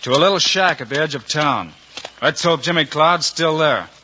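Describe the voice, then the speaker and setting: An actor playing a calm, authoritative Western lawman with an American accent, measured and firm in 1950s radio style. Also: a little high, loud music behind the voice, close to an everyday voice. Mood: firm, commanding. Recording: muffled, noisy old radio recording.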